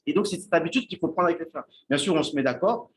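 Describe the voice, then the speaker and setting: A man's voice: a little high, lively intonation. A man giving an informal religious lesson at a shared meal with friends, thinking aloud with many restarts and often checking in with his listeners. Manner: halting, thinks aloud, repeats and restarts words; checks in with listeners, asks "d'accord?". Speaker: Islamic teacher giving a talk on Arabic.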